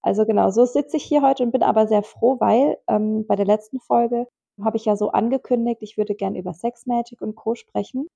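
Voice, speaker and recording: Co-host, woman with a cold: nasal, raspy, low-key ironic chat. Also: brisk, mellow, dry recording. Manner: dry, ironic humor. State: stuffy nose from a cold. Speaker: podcast co-host, dance teacher. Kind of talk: casual chat.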